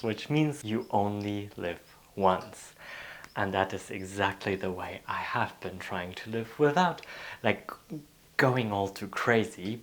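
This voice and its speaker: A man from Poland who grew up in Germany, talking earnestly to the camera and encouraging viewers, sometimes dropping to a whisper. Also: deep voice. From Poland, raised in Germany. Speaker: vlogger and B and B owner. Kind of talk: reflective vlog talk to camera.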